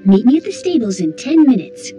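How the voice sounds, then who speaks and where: A woman playing a kind, gracious young princess in an animated film. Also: fairly high pitch, brisk, faint music behind. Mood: caring.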